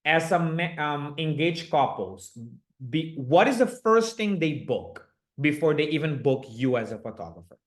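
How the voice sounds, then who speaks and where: A man's voice: on the high side, big pitch swings, a little slow. A man from Aruba with a slight Aruban accent and Papiamento as his first language, hosting a live online coaching session over a video call in an upbeat, chatty way. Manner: upbeat, chatty, laughs often.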